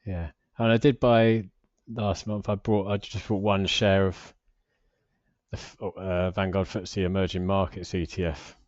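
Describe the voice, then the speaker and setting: A British man in his forties with a low voice talks casually through a screen share. He rambles and hesitates, often putting himself down. The recording is slightly dull but quite dry. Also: flat delivery, measured pace, darkish tone, dry recording. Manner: rambling, hesitant, self-deprecating. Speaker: personal finance vlogger.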